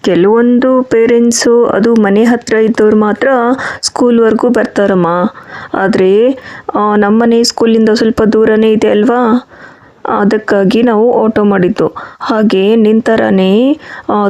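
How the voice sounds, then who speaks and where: A woman voicing a patient, soothing father in a children's cartoon story, speaking in a gentle, explanatory, coaxing way. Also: a little high.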